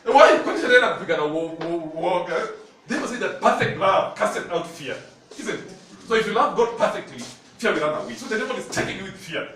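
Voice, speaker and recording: A man's voice: high-pitched, quick pace. A man with a Kenyan accent, a Luhya native speaker, preaching a sermon in a church and telling stories animatedly with frequent laughter. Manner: animated storytelling, laughing often. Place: church hall. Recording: very echoey hall, from far off, noisy.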